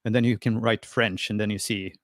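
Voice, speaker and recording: A man's voice: fairly low pitch, steady tone, fairly quick, dry recording, studio quality. A man from Sweden, presenting a friendly, hesitant screen-share tutorial over a video call, with lots of fillers and restarts. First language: Swedish. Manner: friendly and encouraging.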